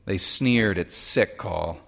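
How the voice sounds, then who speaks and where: A man narrating a literary short story in a measured, dramatic way. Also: deep voice, creaky, fairly bright tone. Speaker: audiobook-style short story reader.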